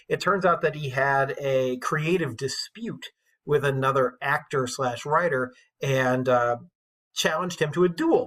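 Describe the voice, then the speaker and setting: A man with a husky voice giving an informal, enthusiastic classroom lecture as an English teacher, telling stories in colloquial language. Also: fairly high pitch, sharp tone.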